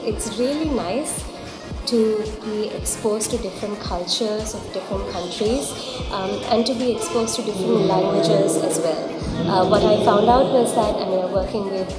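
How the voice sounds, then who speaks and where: A woman's voice: mid-range voice, normal speed. A young Sri Lankan woman with a Sri Lankan accent, talking to the camera in a casual, earnest, upbeat vlog. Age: young adult. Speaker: vlogger and TV presenter. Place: indoors in a decorated hall. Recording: echoey room with loud music.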